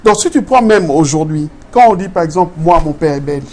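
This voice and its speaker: A man's voice: on the high side, expressive, a little fast, darkish tone. Man, Congolese French accent, older, rhetorical questions, Lingala asides, faint noise. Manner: explains with pointed rhetorical questions; mixes in Lingala phrases. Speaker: guest. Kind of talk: opinion interview.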